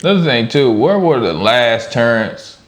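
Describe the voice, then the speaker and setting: A man's voice: mid-range voice, sing-song, moderate pace. A man co-hosting a reaction show, talking in an informal, slangy, off-the-cuff way. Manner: informal and slangy, chuckles while making his points. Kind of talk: casual chat, off-the-cuff commentary.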